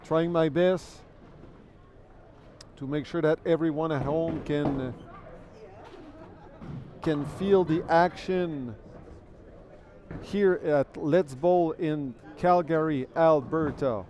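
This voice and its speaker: A man's voice: on the high side, takes it slowly, long pauses, warm tone. A man whose first language is French, doing live commentary on a bowling event. He talks in a chatty way, thanks viewers, and repeats words while he thinks. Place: bowling alley.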